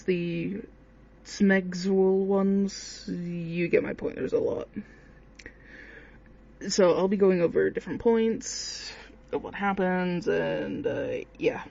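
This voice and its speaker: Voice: medium pitch, creaky, warm tone. A person giving casual, hesitant, rambling commentary on horror games in an informal way. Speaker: video creator, commentator. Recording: dull lo-fi microphone sound.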